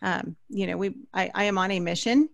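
A woman's voice: mid-range voice, a little fast, creaky, no room sound. Female podcast interviewer, effusive and chatty, mid-range voice, dry studio sound. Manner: effusive, enthusiastic. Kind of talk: casual interview chat. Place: small indoor studio. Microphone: close studio microphone.

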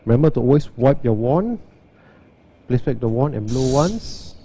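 A man, giving a steady, step-by-step walkthrough and demo of an espresso machine. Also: mid-range voice, moderate pace, a little hiss or hum. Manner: steady, step-by-step instructional delivery. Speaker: barista demonstrating an espresso machine.

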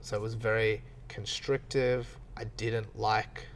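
A young man in his late twenties, talking openly on a podcast with a gravelly, fry-edged voice that sits fairly low. He speaks frankly, with easy self-mocking humor. A faint ambient hiss hangs behind him. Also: little pitch movement. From Australia. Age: 20s. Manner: candid, quick to laugh at himself. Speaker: podcast guest, gym owner. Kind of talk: casual podcast chat.

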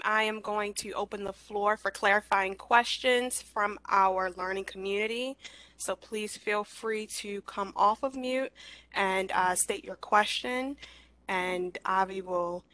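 Bright-voiced woman moderating, very dry sound. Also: medium pitch, no echo. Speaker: moderator of a clinical learning session. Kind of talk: formal meeting facilitation. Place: indoor room on a video call. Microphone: headset.

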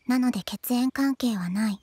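A woman voicing a quiet, serious little female slime spirit in an anime voice drama, flat and matter-of-fact. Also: fairly high pitch, moderate pace, close to an everyday voice. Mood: deadpan. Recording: music under the voice.

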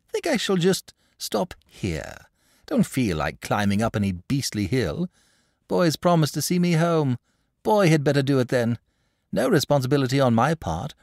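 Storybook dragon, played by a man: idle, self-satisfied, blustery drawl, swooping pitch, gravelly edge. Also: a little mellow, no room sound, clean studio sound, heavily acted. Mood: drowsy, contented, then lazily offhand. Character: lazy, jovial, self-satisfied sleepy male dragon. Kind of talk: storybook character voice.

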